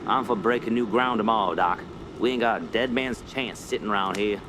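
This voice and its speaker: A man's voice: lowish voice, fairly quick, lightly acted. A man playing a seasoned American hunting guide in a radio drama, folksy, blunt and teasing. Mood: brisk, practical. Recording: noisy outdoor ambience behind.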